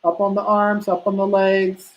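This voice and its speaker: A woman's voice: medium pitch, completely flat delivery, moderate pace, muffled recording. A woman teaching prenatal massage in a live online class. She talks in a casual, chatty way with many fillers and talks to herself while she clicks through slides.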